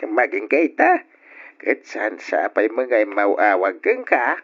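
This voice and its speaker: A man's voice: fairly low pitch, wildly swooping pitch, slow, heavily acted. A man acting an insistent, concerned older father in an old Ilocano radio drama. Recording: dull old radio recording.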